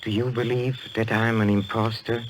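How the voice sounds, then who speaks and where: An actor playing a suave, menacing spirit medium, theatrical and courtly, in an old radio thriller. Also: male voice, fairly low pitch, flat delivery, normal speed, lightly acted. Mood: coolly persuasive. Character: suave, menacing foreign male spirit medium, mockingly polite. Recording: noisy old radio drama recording.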